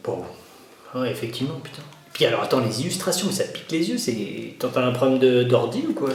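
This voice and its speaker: A man's voice: mid-range voice, big pitch swings, a little fast. A man talking casually and exclamatorily in a conversational comedy sketch. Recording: very echoey, distant mic, faint noise.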